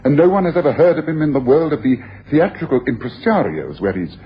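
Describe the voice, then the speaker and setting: A man's voice: a little high, very animated, fast. A self-assured detective with a theatrical, domineering delivery. His voice swings widely as he makes his points, in a dull, noisy old radio broadcast. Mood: accusing. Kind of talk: 1980s radio drama acting.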